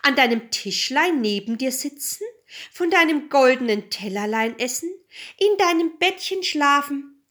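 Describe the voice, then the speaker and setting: Storybook frog, acted by a woman: male creature, high-pitched, demanding, pleading. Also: expressive, a little slow, a bit bright. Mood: insistent. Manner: insistent, demanding. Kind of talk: fairy tale storytelling.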